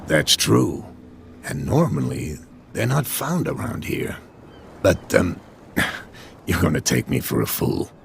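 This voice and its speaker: Gravelly, deep-voiced old sea captain. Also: male voice, very animated, takes it slowly, long pauses, slight background noise, heavily acted. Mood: wry, mysterious. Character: weathered harbor captain, old seafaring man, proud and superstitious. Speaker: last serving sea captain. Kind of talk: video game dialogue.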